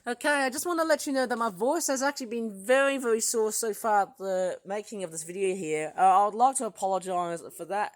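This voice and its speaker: A hoarse vlogger with a sore throat rambles through a backyard garden tour, excitable and full of fillers. The voice sits at a middling pitch and sounds dry and close, picked up outdoors on a tablet. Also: a little fast, few pauses, no room sound. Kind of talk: casual garden tour narration. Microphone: tablet filming.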